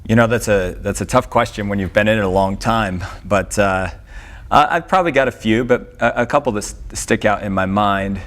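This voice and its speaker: A middle-aged man, a coach, giving unscripted interview answers with hesitant, halting starts. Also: lowish voice, fairly bright tone. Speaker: swimming coach, interview guest.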